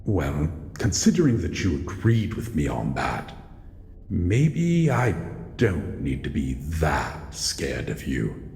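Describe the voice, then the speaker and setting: A man voicing a grumpy, wary shadow monster in an audio roleplay, speaking in a halting, brooding way as it guards its old house. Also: very deep voice, wildly swooping pitch, creaky, a little mellow, heavily acted. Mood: wary, softening. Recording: echoey hall reverb, faint background noise.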